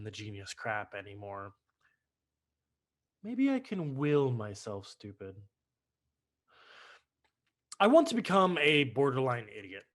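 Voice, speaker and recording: Man acting a sardonic, exasperated genius son. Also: medium pitch. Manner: dry, deadpan sarcasm. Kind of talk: staged play reading. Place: indoors on a video call. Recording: recorded over video call.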